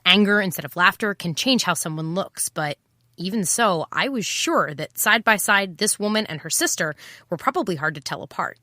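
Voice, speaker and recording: An actress playing a wry, impulsive private eye, talking in a sardonic, confiding way in an audio drama. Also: female voice, lowish voice, sharp tone, dry recording, clean studio sound. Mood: wry, reflective. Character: wry, impulsive female private eye, over twenty-seven. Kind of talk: first-person noir audio drama narration and dialogue.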